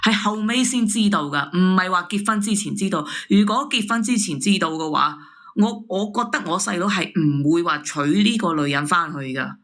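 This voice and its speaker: A woman's voice: on the low side, expressive, normal speed, a bit bright. A woman with a Hong Kong Cantonese accent arguing as a caller, indignant, accusing and insistent. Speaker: caller airing a family dispute. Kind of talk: heated argument.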